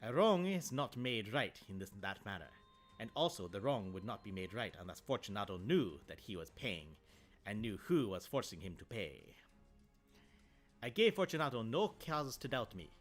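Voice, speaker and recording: A man doing a put-on Italian accent to voice a proud, vengeful nobleman, narrating with measured theatrical delivery. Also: lowish voice, big pitch swings, soft background music. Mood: brooding. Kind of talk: dramatic reading aloud.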